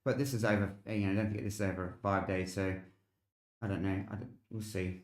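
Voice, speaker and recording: A man's voice: low voice, fairly even intonation, brisk, reverberant. A man with an Australian accent hosting a casual live weather stream, explaining things informally as he replies to viewers. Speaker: weather livestream host. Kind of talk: casual live commentary on weather models, replying to chat.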